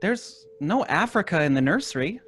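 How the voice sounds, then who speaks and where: A cagey young boy feigns innocence in a lifted, lively voice, played by a man. His lines come through from a bit off the mic. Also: high voice, normal speed, slight background noise. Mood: evasive. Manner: raised, boyish and innocent-sounding. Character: young boy Peter, sly and evasive. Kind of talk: live audio drama performance.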